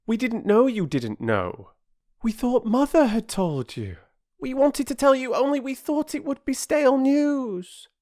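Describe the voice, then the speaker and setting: Earnest little schoolgirl as a story reader puts her on, very high pitch, imploring, well-spoken, storybook character. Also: expressive, unhurried, a little mellow. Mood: apologetic, pleading. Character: earnest, pleading young schoolgirl. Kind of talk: children's storybook character reading.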